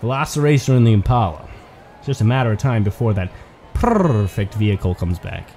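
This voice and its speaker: A man giving play-by-play commentary on a toy car race, building excitement at close finishes. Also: fairly low pitch, fairly quick, a little hiss or hum.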